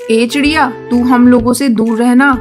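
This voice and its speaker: A woman's voice: a little high, fairly even intonation, takes it slowly, faint music behind. A woman voicing a snobbish young bird from a rich family in a children's cartoon. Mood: mocking. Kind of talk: Hindi children's cartoon voice acting.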